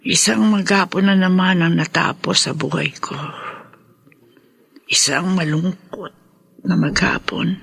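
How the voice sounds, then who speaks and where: A lonely, despondent parent in a radio drama, speaks slowly in a high, husky voice. The delivery sighs and drifts toward whispers, sad and worn down. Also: male voice, fairly even intonation, a bit bright, full character voice. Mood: weary, sorrowful. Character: lonely, despondent parent left alone at home.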